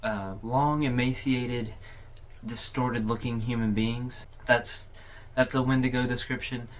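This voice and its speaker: A man's voice: medium pitch, steady tone, unhurried, slight background noise. A man hosting a video overview, giving casual, conversational commentary in an informal way. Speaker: host of a horror video overview channel.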